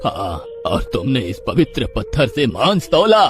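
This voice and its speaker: A man's voice: mid-range voice, wildly swooping pitch, measured pace. A man voicing an elderly village priest in a cartoon, exclaiming and scolding with pious alarm. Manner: exclaims in shock, scolding and fervent. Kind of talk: cartoon voice acting. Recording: background music under voice.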